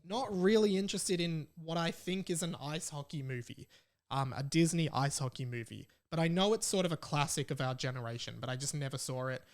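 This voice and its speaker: A man's voice: fairly high pitch, a little fast. A young Australian man hosting a podcast solo, reading out listener messages and commenting on them in a chatty, informal way. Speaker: podcast host.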